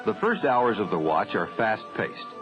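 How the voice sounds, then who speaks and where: A man reading scripted training film narration in a formal, measured, matter-of-fact way. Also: lowish voice, big pitch swings, over music. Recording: dull 1970s film soundtrack.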